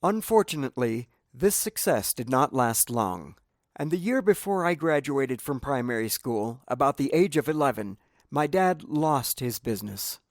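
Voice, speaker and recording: A man's voice: medium pitch, sing-song, normal speed, no echo, clean studio sound. A man from Cambodia with a Cambodian accent, reading his own inspirational memoir as scripted narration in an earnest, preaching manner.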